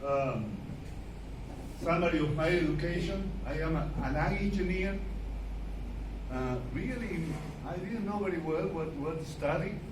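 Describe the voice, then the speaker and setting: An older man from Argentina, a native Spanish speaker with an Argentine accent, gives an academic seminar as a guest specialist in halting, deliberate English. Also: on the high side. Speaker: animal nutrition specialist giving a guest lecture. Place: seminar room before an audience. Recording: echoey room, distant mic, background noise.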